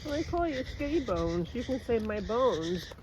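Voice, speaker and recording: A woman chatting casually, often answering in brief, low-key replies. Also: very animated, normal speed, background noise. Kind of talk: casual chat with a child while walking.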